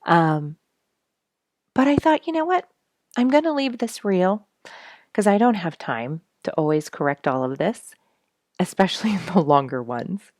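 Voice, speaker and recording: A woman with a low voice hosts a children's read-aloud. Her intonation is very animated, with an encouraging way of addressing young listeners. The sound is dry, with little room around it. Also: dry recording. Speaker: story reader and host. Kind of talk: read-aloud children's storybook with casual asides.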